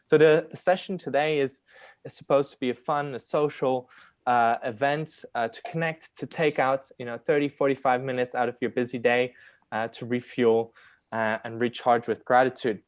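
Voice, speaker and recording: A man's voice: medium pitch, steady tone, normal speed, smooth, no room sound. A man hosting an online session and introducing a guest, hesitant with many fillers. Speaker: host.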